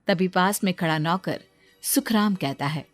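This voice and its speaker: A woman's voice: on the low side, a little slow, soft background music. A woman reading scripted narration for a Hindi cartoon story.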